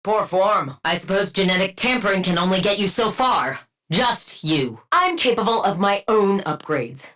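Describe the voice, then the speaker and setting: A woman's voice: medium pitch, animated, moderate pace, a bit bright, close to an everyday voice. An icy woman supervillain scientist from a video game, performed by an actress. She is clipped and haughty, with a dry condescending bite. Her intonation moves freely as she delivers scripted battle lines.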